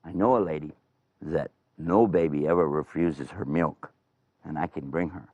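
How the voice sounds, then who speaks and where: A man's voice: very deep voice, normal speed, no echo, phone-quality sound, pitched below the performer's own voice. A man voicing a nervous, eager young girl who stammers evasively in a told story.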